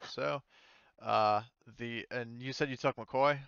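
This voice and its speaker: Casting: male game master, gravelly but clear, dull dry recording, off-the-cuff halting narration with casual swearing. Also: medium pitch, fairly even intonation, fairly bright tone, no room sound.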